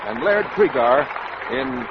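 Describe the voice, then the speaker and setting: A man's voice: mid-range voice, animated, takes it slowly, over a noisy crowd. A man announcing on 1940s radio in a polished, formal manner. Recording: muffled 1940s radio, audience noise.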